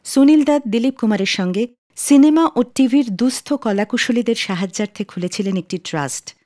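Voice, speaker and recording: A woman hosting a radio show, reading a scripted narration in an expressive, storytelling way. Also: a little high, normal speed, dry recording, studio quality. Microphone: close studio microphone. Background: soft music.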